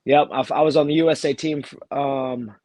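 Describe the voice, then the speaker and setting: A fighter from Texas, talking casually as an interview guest while nursing a cold, with many fillers and restarts. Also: male voice, on the high side, fairly even intonation, a little fast, darkish tone, dry recording. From Texas, USA. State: has a cold. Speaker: bare-knuckle fighter, guest.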